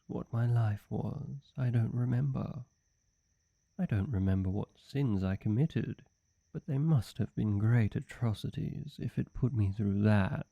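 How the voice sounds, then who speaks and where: Man playing a dark-voiced, suave, worshipful demon servant. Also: medium pitch, dry recording. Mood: pained, brooding. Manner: suave, smooth-talking, deferential, deliberate. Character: suave, flirty, fanatically devoted male demon servant. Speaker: voice actor. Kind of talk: scripted roleplay audio addressed to the listener.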